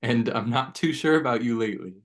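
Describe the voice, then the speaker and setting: A man's voice: medium pitch, expressive, brisk. A man playing a laid-back, blunt but loyal teenage boy in a table read of a horror script. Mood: skeptical, teasing.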